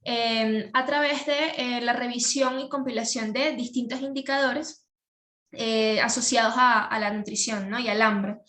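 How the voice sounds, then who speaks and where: A woman's voice: sits high, fairly bright tone, roomy sound. A Venezuelan woman explaining her work at a workshop over a video call, hesitant, with many fillers and restarts. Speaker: workshop speaker. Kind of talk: explaining, thinking aloud.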